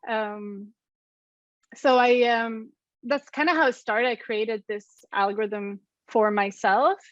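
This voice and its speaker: A woman's voice: a little high, fairly even intonation, slow pace, dry recording, muffled recording. A woman with a Swedish accent, a guest on an interview over a call, speaking thoughtfully with many ums and likes. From Sweden. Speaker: guest, app co-founder.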